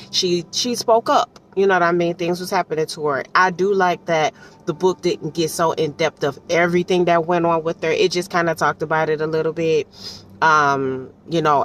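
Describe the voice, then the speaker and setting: A low-pitched woman talks off the cuff as a casual book reviewer, with some noise behind her. She is animated and colloquial, repeating phrases for emphasis. She keeps checking in with whoever is listening. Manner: chatty, often checks in with the listener. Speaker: audiobook reviewer giving personal commentary. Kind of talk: casual, unscripted book commentary.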